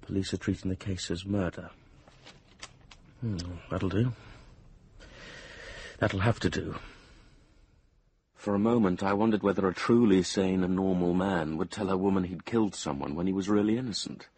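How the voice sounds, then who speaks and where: A man's voice: sits low, normal speed, long pauses, a little mellow, breathy edge, no room sound. An actor playing an anxious, lovesick young man in a radio drama, earnest and confiding as he tells his own story. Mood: confiding, brooding. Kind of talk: radio drama acting and first-person narration.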